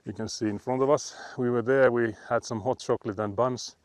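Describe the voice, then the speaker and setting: A man's voice: mid-range voice, steady tone, fairly quick, dry recording. A man with a Finnish accent, chatting casually and laughing often while live-streaming on a frozen lake. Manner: chatty, laughs often, reads chat aloud.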